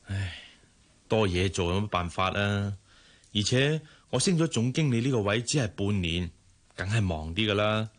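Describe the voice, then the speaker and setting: An actor playing a courteous, hardworking general manager in a radio drama, measured and polite, with a Hong Kong Cantonese accent. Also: male voice, on the low side, animated, close to an everyday voice. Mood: businesslike. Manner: measured, polite, familiar with subordinate.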